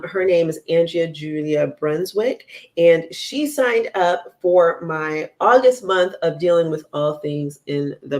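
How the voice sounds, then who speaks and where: A bubbly, playful woman hosting a podcast, chatting casually with her guest while recovering from an illness. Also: low voice. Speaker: podcast host. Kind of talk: casual interview chat.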